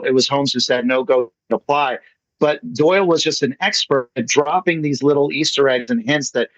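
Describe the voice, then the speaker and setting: A man's voice: on the high side, fairly bright tone, no room sound. A man speaking casually as a guest on an online call, effusive and rambling, repeating himself for emphasis. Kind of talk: casual online talk answering questions. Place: online video meeting. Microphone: video call. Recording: slightly dull online call audio.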